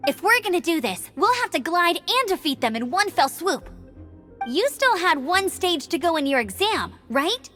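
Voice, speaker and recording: A plucky young knight scout in a video game, very high and animated. She is eager, brisk and earnest, with faint music behind her. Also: exaggerated voice. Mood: upbeat. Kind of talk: English video game voice acting.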